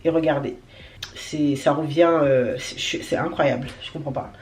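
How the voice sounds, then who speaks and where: A woman's voice: sits low, steady tone, normal speed, darkish tone. A young woman with a cold chatting casually to her vlog audience, candid and slangy, grumbling and poking fun at herself. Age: young adult. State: has a cold. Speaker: vlogger.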